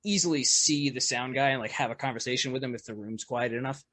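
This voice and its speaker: A man's voice: mid-range voice, quick pace. Rambling man telling a gig story. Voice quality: loud voice. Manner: rambling, lots of fillers and restarts, laughs often. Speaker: podcast guest, musician. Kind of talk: casual storytelling chat.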